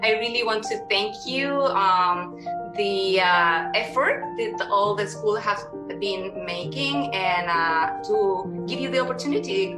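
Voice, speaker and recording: Casting: woman art student, halting speech, roomy sound with music underneath. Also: medium pitch, animated. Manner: hesitant, with fillers.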